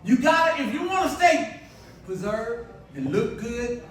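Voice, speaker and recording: A man in his sixties doing loud, profane, rambling stand-up comedy at a bar and grill. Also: very high voice, big pitch swings, a little slow. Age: 60s. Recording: echoey club recorded from far off. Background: audience laughter.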